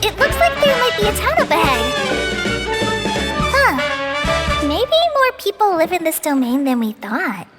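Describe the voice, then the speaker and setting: An excitable little girl in a game cutscene, her voice very high and bouncing up and down as she asks question after question. She talks slowly and carefully, with soft game music behind her. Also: heavily acted. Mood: excited. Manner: bubbly, wide-eyed questions. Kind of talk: video game cutscene dialogue.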